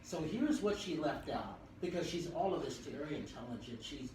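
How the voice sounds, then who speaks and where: A male talk show host giving a brisk broadcast intro and trading teasing banter with his round-table guests. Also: on the high side, animated, a little mellow. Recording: echoey room, mic far off, noisy.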